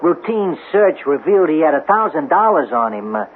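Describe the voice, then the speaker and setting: An actor playing a casually probing police detective with a halting, hesitant delivery in a radio drama. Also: male voice, fairly high pitch, sing-song. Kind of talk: 1970s radio drama acting. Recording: tinny band-limited old radio recording, hiss.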